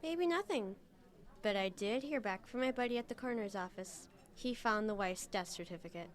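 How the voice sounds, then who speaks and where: A woman's voice: medium pitch, expressive, normal speed, close to an everyday voice. An actress playing a suspicious, insistent woman in a radio drama, speaking conversationally with pressing emphasis. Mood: insistent, accusing. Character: suspicious, insistent woman digging into a murder case.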